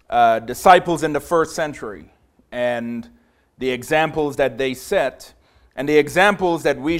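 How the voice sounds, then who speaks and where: A man in his forties from Trinidad, preaching to a congregation in a small room. He speaks with growing passion and a big voice, with many filler pauses. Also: medium pitch. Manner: passionate, grows louder as he preaches. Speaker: visiting preacher. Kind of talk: sermon.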